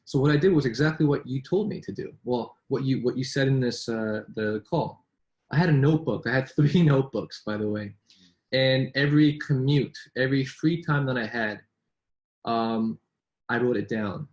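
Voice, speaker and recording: Casting: male business coach in casual chat, medium pitch, repeats words and tags lines with "right?". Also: moderate pace, a little mellow, highs cut off. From born in New York, raised in Vancouver. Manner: chatty, with frequent restarts and rhetorical "right?". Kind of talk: casual conversation between colleagues.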